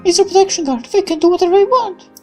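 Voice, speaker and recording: A woman's voice: very high-pitched, expressive, fast talker, mellow, faint music behind, pitched above the performer's own voice. A woman voicing a young, cautious female factory worker, earnest and protective, in a visual novel game. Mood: anxious, resigned.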